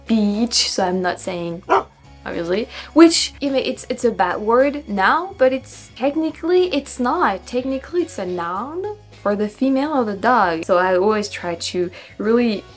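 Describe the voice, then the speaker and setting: A woman's voice: mid-range voice, expressive. Young french woman vlogging, french accent, repeats english words over and over trying to get them right, laughs a lot, bit of music behind. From France. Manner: thinks aloud, repeats words slowly to try pronunciations, laughs often. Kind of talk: casual reaction vlog.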